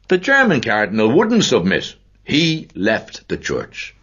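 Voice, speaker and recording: A self-assured middle-aged gentleman lays out his story with slow, hammering emphasis, as a man reads him aloud. The voice is husky and lifted higher than the reader's own, swinging widely. It comes through a dull, lo-fi recording. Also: huge pitch swings, heavily acted. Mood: solemn. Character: authoritative middle-aged man holding forth on church history.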